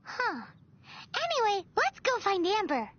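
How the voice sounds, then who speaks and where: A woman voicing a tiny, cheeky, curious female fairy companion in a video game, with a squeaky childlike voice and cutesy manner. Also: huge pitch swings, a little slow, fairly bright tone, dull audio, slight background noise, exaggerated voice. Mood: curious, puzzled. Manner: cutesy, refers to herself in third person. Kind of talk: cartoonish video game voice acting.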